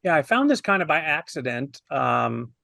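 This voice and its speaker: Man, fifties, gravelly voice, slightly high pitch, casual storytelling, animated reviewer. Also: normal speed, dry recording, studio quality. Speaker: film review panelist. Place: indoors on a video call.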